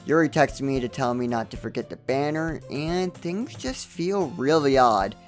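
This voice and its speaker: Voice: mid-range voice, animated, normal speed, faint music behind. A game reviewer narrating a scripted comedic recap with wry, joking asides.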